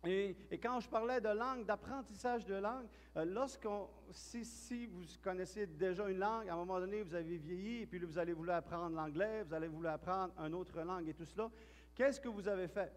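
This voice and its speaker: Man, Quebec French accent, pastor, high-pitched, chatty sermon, church hall, long reverb. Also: a little fast. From Quebec, Canada. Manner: chatty, storytelling, with frequent restarts and repeated words.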